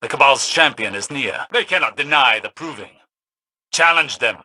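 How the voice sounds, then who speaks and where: An actor playing a stern, haughty older male warlock mentor in a video game, speaking in a formal, measured, lecturing way. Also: mid-range voice, lively intonation, sharp tone. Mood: commanding. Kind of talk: dramatic video game dialogue.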